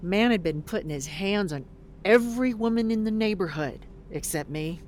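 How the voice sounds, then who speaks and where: A woman's voice: mid-range voice, expressive, moderate pace, darkish tone, slight background noise, lightly acted. An actress playing a blunt, weary middle-aged woman fresh out of prison in an audio drama, swearing in exasperation. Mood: bitter, reflective.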